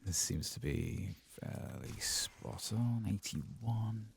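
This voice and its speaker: A soft-spoken man doing ASMR commentary on a video game, sometimes dropping into a whisper, with a husky, breathy voice. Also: on the low side, animated, slow pace, a little hiss or hum. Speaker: game streamer narrating a playthrough.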